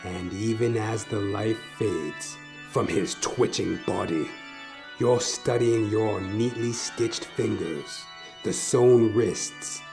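Narator horor pria, sangat lambat dan dramatis. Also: male voice, on the low side, creaky, music in the background. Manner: intense, theatrical, pausing between short phrases. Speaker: narrator. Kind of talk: dramatic second-person horror narration.

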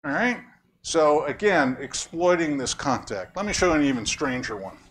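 A man's voice: a little high, expressive, moderate pace, fairly bright tone, slight background noise. A man giving a lecture, explaining ideas conversationally, quizzing audience members and cracking wry jokes. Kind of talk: academic lecture with audience questions. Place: lecture room.

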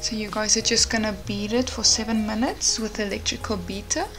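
A woman's voice: medium pitch, fairly even intonation, brisk. A woman in her twenties chatting casually to viewers in an informal vlogging style. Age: 20s. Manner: informal, addressing viewers directly. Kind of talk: casual vlog chat and recipe voice-over. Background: music.

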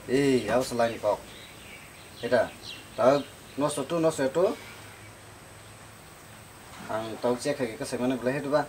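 A man's voice: medium pitch, moderate pace, pauses often, darkish tone, slight background noise, close to an everyday voice. A young man with a Kokborok accent acting in an amateur short film, playing a villager who wants his motorbike fixed. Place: outdoor roadside shop shelter.

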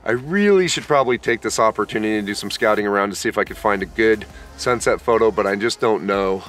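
A man's voice: fairly low pitch, fairly bright tone, soft background music. Tired laid-back man at a forest campsite. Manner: laid-back, tired, unhurried with many fillers. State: worn out after being sick. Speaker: camping vlogger. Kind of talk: casual camp vlog talk to camera. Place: outdoor pine forest campsite.

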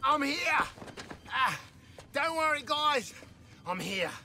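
An actor playing a brash, mouthy rogue with an Australian accent and a cocky, informal manner, in a video game. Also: male voice, extremely high pitch, big pitch swings, slow, heavy background noise, heavily acted. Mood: casual, cocky. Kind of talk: video game cutscene acting.

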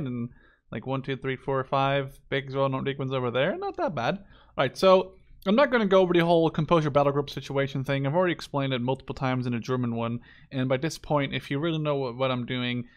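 Man in his twenties, mid-pitched voice, casual gaming commentary, rambling, self-interrupting, sweary. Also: fairly quick, clean tone. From Netherlands. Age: 20s. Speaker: gaming YouTuber.

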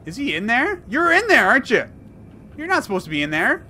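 A man in his thirties commenting on a horror game he plays, rambling and jokey, swinging from mumbles to yells. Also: extremely high pitch, huge pitch swings, brisk, a little hiss or hum. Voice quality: hoarse at times. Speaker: gaming YouTuber. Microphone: headset.

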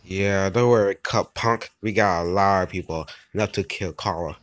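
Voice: deep voice, normal speed, a little hiss or hum, close to an everyday voice. An amateur voice actor playing a casual, bossy, wisecracking video-game character in a homemade cartoon. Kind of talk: amateur animation voice acting.

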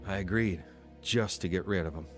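A man's voice: low voice, normal speed, over loud music, close to an everyday voice. An actor playing a troubled, wavering young man telling his life story in a radio drama. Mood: rueful recounting. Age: young adult. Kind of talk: radio drama narration and dialogue.

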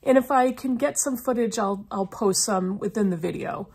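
A woman's voice: on the high side, moderate pace, darkish tone, slightly rough. A middle-aged woman chatting casually to camera as a book vlogger, her voice slightly froggy from a lingering cough. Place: small indoor room lined with bookshelves.

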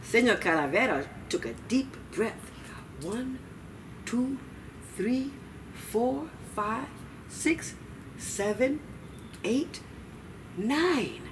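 Brief: female children's storyteller, middle-aged, lively pitch swings, recorded at a distance in a noisy room. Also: very slow, long pauses. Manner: expressive, dramatic, with asides to listeners. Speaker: library storytime reader. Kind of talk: animated read-aloud for young children. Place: small children's room indoors.

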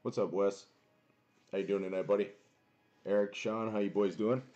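Young guy with a deep booming voice chatting on a card-breaking stream, muffled lo-fi home audio. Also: fairly even intonation, normal speed, warm tone. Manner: chatty, greets viewers by name. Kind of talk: casual live-stream chat. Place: small home room, indoors.

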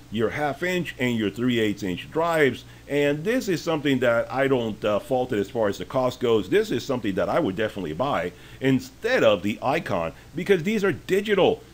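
Man with lively pitch reviewing deals. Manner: opinionated, conversational, with frequent fillers. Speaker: deal review host. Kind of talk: screen-share sale walkthrough.

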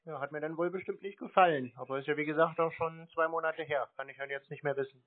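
A man's voice: on the high side, fairly even intonation, fast. A man in a phone conversation, answering curtly and contrarily, giving nothing away. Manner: curt, stonewalling, contrary. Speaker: scam-baiter taking phone calls. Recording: tinny phone-quality audio.

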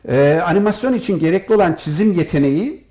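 An older man, a professor answering interview questions in formal wording, speaking in a measured way with frequent pauses. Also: sits high, expressive, dark tone. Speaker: professor, head of an animation department.

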